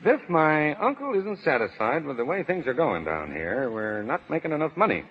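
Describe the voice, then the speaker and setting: An actor playing a smug, bullying factory boss, self-important and slangy but cowardly underneath, in 1930s radio drama. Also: male voice, fairly high pitch, very animated, normal speed, a little hiss or hum. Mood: scheming. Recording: muffled 1930s radio broadcast, reverberant hall.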